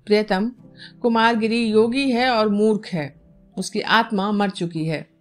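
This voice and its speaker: A woman's voice: mid-range voice, steady tone, measured pace, soft background music, natural voice. A woman voicing a bold, passionate female court dancer with a confident, declamatory manner. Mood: reassuring.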